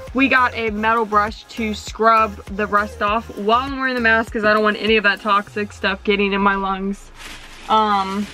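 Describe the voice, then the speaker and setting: A woman's voice: a little high, sharp tone. A woman in her twenties chatting casually to camera as she fixes up a camper van, talking while she works. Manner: chatty, talks straight to camera while working. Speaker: vlogger. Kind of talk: casual how-to vlog.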